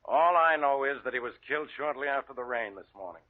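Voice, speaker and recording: An actor playing a skeptical, slow-witted police inspector with an American accent in a 1940s radio drama. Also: male voice, medium pitch, animated, lightly acted. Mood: official, blunt. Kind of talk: 1940s radio detective acting. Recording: muffled, narrow old radio recording.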